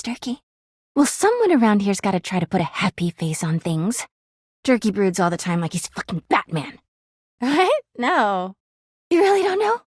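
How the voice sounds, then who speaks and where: A woman's voice: a little high, no echo, clean studio sound. A woman voicing a clingy, jealous talking laundry hamper in a video game, gushing sweetly and flipping into bitter drama. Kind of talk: video game character voice acting.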